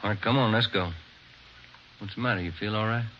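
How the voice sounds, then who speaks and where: An actor playing a brisk, polite but firm police detective in a vintage radio crime drama, on a dull old radio recording. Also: male voice, on the low side, noisy background, lightly acted. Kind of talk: 1940s radio acting.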